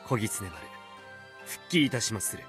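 Slow, courtly fox-spirit warrior. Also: male voice, on the low side, animated, music in the background. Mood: composed, proud. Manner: archaic, polite, unhurried, theatrical speech. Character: courtly, playful, proud fox-spirit sword warrior, adult male. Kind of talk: Japanese video game character voice lines.

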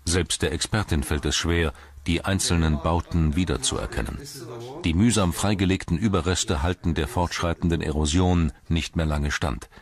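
A man reading scripted documentary narration in a measured, articulate storytelling manner. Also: low voice. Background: music.

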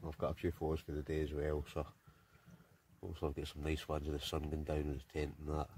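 A man's voice: very deep voice, completely flat delivery, fast, a little mellow, a little hiss or hum. A Scottish man in his forties, chatting casually to a phone camera held at arm's length, outdoors by a river and inside a tent, rambling with many fillers. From Scotland. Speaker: vlogger.

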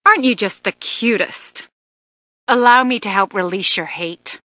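A woman's voice: medium pitch, measured pace, pushed and bright, no echo. A woman voicing a seductive, teasing succubus in a fighting game, with a sultry, flirtatious and taunting manner. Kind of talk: fighting game character voice lines.

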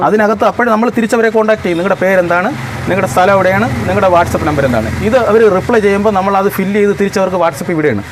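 A middle-aged man talks very quickly in a slightly high voice. He explains his points emphatically and repeats them with insistence, as in an interview. There is faint noise behind him. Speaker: interviewee, homeowner organizing a lucky draw.

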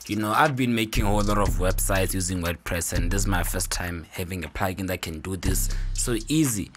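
A man hosting a software tutorial in a casual, informal way, talking through each step with upbeat interjections. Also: low voice, brisk. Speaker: tutorial host. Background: music.

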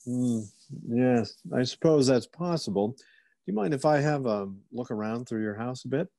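A man's voice: mid-range voice, normal speed, warm tone, no echo, lightly acted. A man playing a courteous, inquisitive police officer who muses and probes politely, in old-time radio theater style. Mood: businesslike. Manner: polite, musing, probing.

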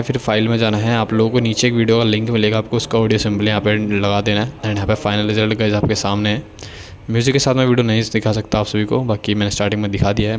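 A man's voice: fairly low pitch, a little hiss or hum. A man giving a casual, instructional tutorial voice-over, explaining things step by step in a repetitive way. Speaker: video editing tutorial host.

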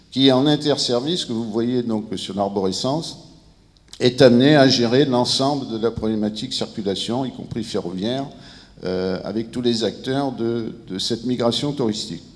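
A mature man addresses a conference in a formal, semi-improvised way. His voice sits at a medium pitch and he often stops to search for words. The handheld microphone carries long reverberation from the large room. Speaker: civil protection director presenting at a conference. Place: large indoor conference room with seated audience. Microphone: handheld microphone held near the mouth. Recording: reverberant hall sound over handheld microphone.

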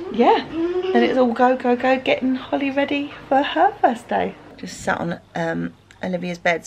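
A woman's voice: fairly low pitch, creaky. A woman in her thirties with an English accent, talking in a chatty, explanatory way in a casual family vlog. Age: 30s. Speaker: family vlogger, mother. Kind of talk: casual vlog chat to camera. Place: rooms in a family home. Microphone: camera at arm's length or nearby.

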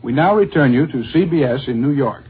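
An American man reporting the news as a 1940s radio correspondent, with a husky voice. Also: medium pitch, expressive, fairly quick. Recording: scratchy narrow-band 1940s radio recording.

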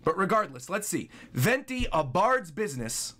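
A man in his twenties giving casual, excitable live commentary as a streamer reacting to game trailers. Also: high-pitched, normal speed. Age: 20s. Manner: excitable, exclaiming. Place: small furnished room indoors. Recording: game trailer music playing behind.

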